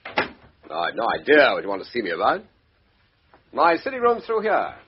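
A man's voice: mid-range voice, wildly swooping pitch, moderate pace, a little hiss or hum. An offhand ex-army con man. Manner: offhand, casual, evasive. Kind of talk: 1950s radio drama acting. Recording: dull old radio broadcast.

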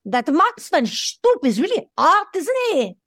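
A woman's voice: sits high, big pitch swings, unhurried, a bit bright, no echo, clean studio sound, heavily acted. A woman playing a vain, girlish female show dog in an audio play. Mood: gushing, catty.

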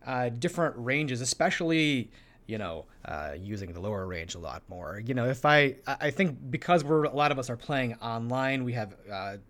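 A man talks through practical technique in a conversational co-host style. His delivery is tentative and exploratory, as he thinks aloud at a middling pitch. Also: fairly bright tone. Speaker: co-host giving tabletop role-play voice tips. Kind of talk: conversational instructional chat.

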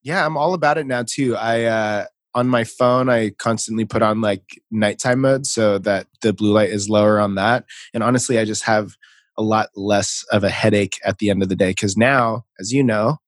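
A man's voice: fairly low pitch, steady tone, moderate pace, no room sound. A man hosting a casual podcast interview over a video call, upbeat and chatty, laughing easily. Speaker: podcast host.

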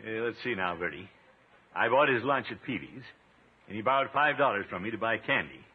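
A man's voice: on the low side, expressive, a little fast, husky. An actor playing a pompous, flustered, easily exasperated middle-aged man with blustery exclamations in a 1950s radio comedy. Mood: grumbling, brooding. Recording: tinny, noisy old radio recording.

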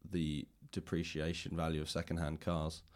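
A man with a low-pitched voice hosts a casual interview. He talks reflectively and at length, in a loose conversational style. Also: flat delivery, normal speed. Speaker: podcast host, interviewer.

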